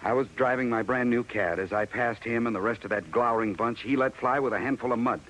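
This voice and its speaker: A man playing an excitable, concerned companion of a detective, with an American accent, in 1950s radio drama style. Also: mid-range voice, fast talker, natural voice, rough recording. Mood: agitated explaining. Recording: vintage radio recording, faint noise.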